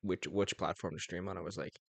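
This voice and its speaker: Low, gravelly young man streaming. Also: fairly even intonation, brisk, no echo. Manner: rambling, chuckling often, many fillers and restarts. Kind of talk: casual livestream chat.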